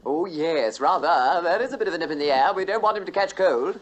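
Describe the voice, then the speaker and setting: An actor playing a genteel, fussy, over-cautious sea captain in a comedy film, with a posh, mannered delivery. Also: male voice, fairly high pitch, lively intonation, brisk, close to an everyday voice. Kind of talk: British comedy film acting. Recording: muffled film clip, faint noise.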